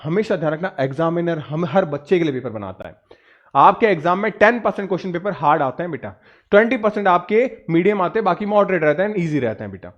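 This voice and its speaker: A man's voice: sits high, expressive, fast talker, highs cut off. A man with an Indian accent lecturing students on exam preparation, emphatic and instructive, often asking and answering his own questions, with English words mixed in. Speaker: exam coaching teacher.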